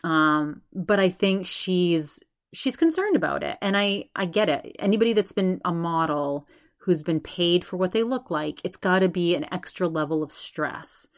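A woman's voice: fairly low pitch, moderate pace. A woman in her forties hosting a solo podcast, talking in a chatty, rambling way and speaking straight to her subscribers. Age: 40s. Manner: chatty, rambling, animated, addresses subscribers directly. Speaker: podcast host.

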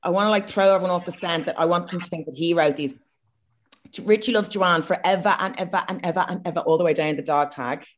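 A woman's voice: on the low side, quick pace. A woman with an Irish accent, co-hosting a casual comedic chat, frank and self-mocking. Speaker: podcast co-host.

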